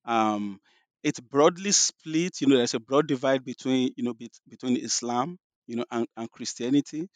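Middle-aged Nigerian-accented man, scholar guest, halting and thoughtful, dry close mic. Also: medium pitch, dry recording. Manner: thoughtful, many restarts and "you know" fillers. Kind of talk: interview answers.

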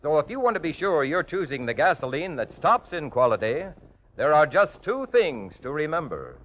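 A man working as a commercial announcer, reading a polished scripted pitch in 1940s radio style. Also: big pitch swings, moderate pace. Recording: dull vintage radio recording.

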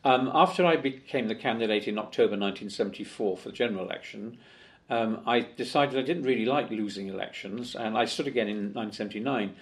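Older man, gravelly voice, interview guest, rambling with fillers. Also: lowish voice, fast talker. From Wales. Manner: chatty, with many fillers and restarts. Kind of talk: conversational interview. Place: office in Parliament.

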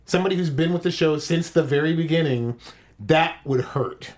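A man's voice: on the high side, normal speed, a little mellow. A man on an entertainment news panel, joking wryly as he guesses along. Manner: joking, wry. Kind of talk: casual entertainment news chat.

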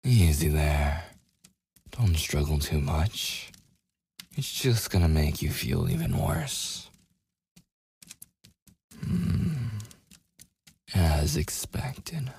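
Casting: male actor as taunting, possessive werewolf for ASMR roleplay. Extremely low register, husky and breathy, menacing. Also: sing-song, a little mellow, exaggerated voice. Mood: gruff, teasing. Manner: slow, teasing, menacing. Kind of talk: boyfriend ASMR audio roleplay acting.

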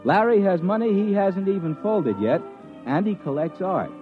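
Wry, hip narrator on muffled old radio. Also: male voice, high voice, lively intonation, over music. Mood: wry storytelling. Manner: sardonic, jive slang, drawn-out hesitations. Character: wry, hip, cash-strapped radio disc jockey narrator. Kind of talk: first-person narration in old-time radio drama. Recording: dull vintage radio recording.

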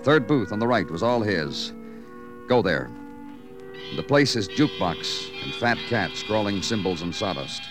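Low-voiced, expressive noir detective. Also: male voice, measured pace, music in the background. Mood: brooding, poetic. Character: patient, dogged, world-weary police detective who narrates. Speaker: lead actor and narrator. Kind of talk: noir radio drama, poetic narration and dry questioning.

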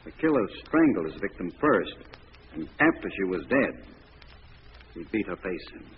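An actor playing a brusque, matter-of-fact police commissioner in a 1940s radio drama. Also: male voice, low voice, sing-song, moderate pace. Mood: brisk, blunt. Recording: dull, noisy old radio recording.

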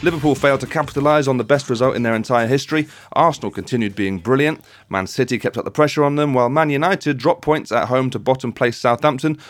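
A man's voice: mid-range voice, no room sound, clean studio sound. A man hosting a casual football chat podcast. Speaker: podcast host.

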